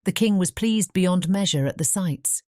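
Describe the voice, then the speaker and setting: Low, mellow British woman narrating. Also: normal speed, dry recording, studio quality. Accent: British English. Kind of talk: scripted fairy tale narration.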